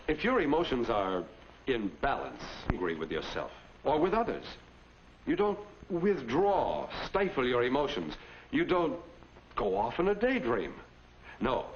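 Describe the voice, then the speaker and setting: A dull, noisy old film soundtrack presents a man playing a calm, fatherly principal. He instructs in a measured way with widely varied intonation, in 1950s educational film style. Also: medium pitch, close to an everyday voice. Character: calm, fatherly male high school principal.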